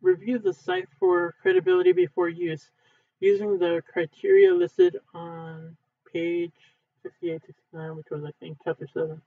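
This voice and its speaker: A man reading a textbook aloud in a halting way, stumbling and correcting himself as he goes. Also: level tone. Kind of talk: unedited read-aloud of a textbook. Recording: thin phone-like low-fidelity sound.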